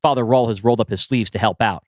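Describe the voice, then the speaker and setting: A man reading a science fiction script aloud as narrator, in an even, descriptive manner. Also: medium pitch, fast, dry recording, clean studio sound. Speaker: storyteller.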